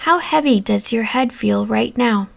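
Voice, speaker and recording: A woman narrating a guided meditation in a calm, soothing, deliberate manner, giving relaxation instructions with pauses. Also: a little high, creaky. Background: new-age music.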